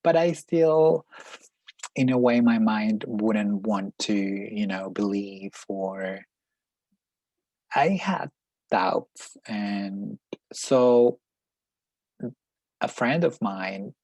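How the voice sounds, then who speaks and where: Slow husky man with a Peruvian Spanish accent. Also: fairly low pitch, a little mellow, dry recording. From Peru. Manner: reflective, searching for words. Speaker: life coach and energy healer. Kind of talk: personal spiritual vlog.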